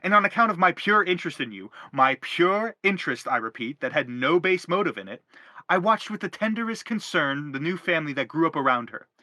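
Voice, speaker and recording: A man's voice: fairly high pitch, lively intonation, fairly quick, pushed and bright, dry recording. An actor playing an anguished middle-aged father in a stage drama, pompous, wordy and insistent as he justifies himself. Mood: pleading, self-justifying. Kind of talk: theatrical stage drama acting.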